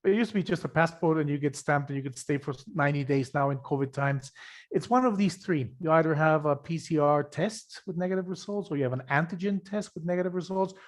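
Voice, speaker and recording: A man from Ecuador presents travel options over a video call. His voice sits a little high, and he pauses often with uh fillers. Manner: halting, many uh fillers. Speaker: tour company representative. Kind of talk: webinar presentation.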